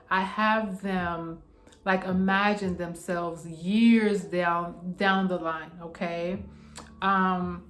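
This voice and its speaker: A woman's voice: on the low side, echoey room. A woman in her forties with a New York accent, an acupuncturist explaining her sessions in a casual, reassuring way and often checking in with "okay?". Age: 40s. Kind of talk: casual explainer talking to camera.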